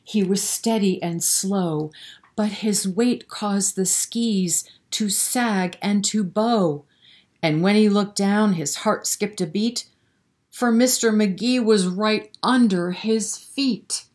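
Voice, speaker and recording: Female children's storyteller, mid-pitched and unhurried, expressive read-aloud delivery with explanatory asides. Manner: expressive, explains words and prompts young listeners.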